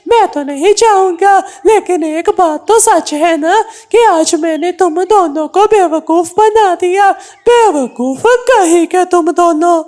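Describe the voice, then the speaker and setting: Woman voicing a very high-pitched mischievous cartoon crow. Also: takes it slowly, few pauses, a little mellow. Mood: gleeful taunting. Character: mischievous mocking male crow, gleeful prankster. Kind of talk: Hindi kids' cartoon dubbing.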